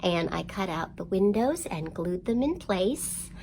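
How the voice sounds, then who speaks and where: A woman presenting a storytime for young children, reading and explaining in an expressive, simple, encouraging way. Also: on the low side, a little slow, a little hiss or hum. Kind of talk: read-aloud storytelling and instruction for young children.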